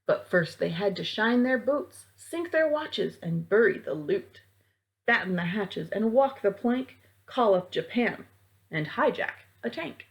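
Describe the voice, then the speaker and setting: A woman reading a rhyming picture book aloud to children in a theatrical, deliberate way, as a library storytime reader. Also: mid-range voice, expressive, vocal fry, poor audio.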